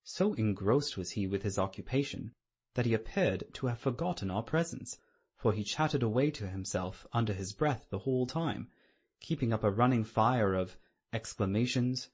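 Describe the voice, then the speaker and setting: A man's voice: mid-range voice, slightly rough. A man reading scripted literary narration as the narrator of an audiobook.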